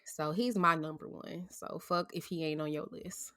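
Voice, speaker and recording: A woman's voice: low voice, steady tone, normal speed, a bit bright. A woman co-hosting a podcast in casual chat, blunt and slangy, laughing often. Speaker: podcast co-host.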